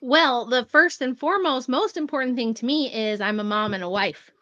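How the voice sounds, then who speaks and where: A woman's voice: on the high side, a bit bright, no room sound, lo-fi sound. Friendly woman in her forties, guest. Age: 40s. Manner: friendly, quick, laughs often. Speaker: guest writer and researcher. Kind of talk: casual interview.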